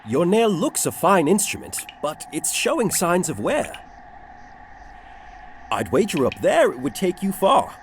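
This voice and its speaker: A man's voice: sits high, sing-song, unhurried. A man playing a thoughtful, courteous wandering insect adventurer in a video game, speaking in a polite, old-fashioned, musing way. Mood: gently cautioning. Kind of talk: dramatic video game character acting. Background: ambient noise.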